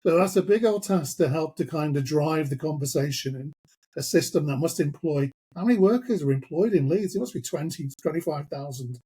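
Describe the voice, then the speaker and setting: A man interviewing a guest, rambling and building his questions slowly with lots of fillers. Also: fairly high pitch, animated, warm tone, slightly rough.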